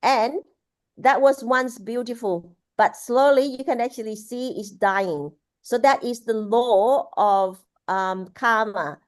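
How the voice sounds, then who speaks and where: Woman teaching with halting restarts. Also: fairly high pitch, dry recording. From Laos. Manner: halting, many restarts and fillers. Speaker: Buddhist speaker. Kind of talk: casual spoken teaching. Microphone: video call.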